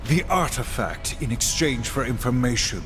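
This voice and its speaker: An actor playing a stern, humorless, dutiful warrior horseman in a video game, speaking gravely and formally. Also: mid-range voice, normal speed, lightly acted. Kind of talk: video game cutscene acting. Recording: long reverb, clear music behind.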